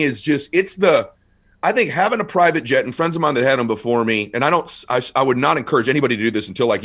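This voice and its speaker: A middle-aged man in casual conversation as a guest, telling stories with animated bursts of excitement. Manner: animated, quick storyteller, rising to excited highs. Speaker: guest speaker.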